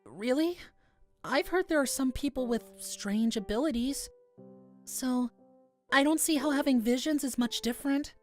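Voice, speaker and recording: A woman voicing a shy, gentle, apologetic young man in a fantasy visual novel, with a hesitant manner. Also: sits high, normal speed, pitched above the performer's own voice, natural voice. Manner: hesitant, soft-hearted. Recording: music playing behind the voice.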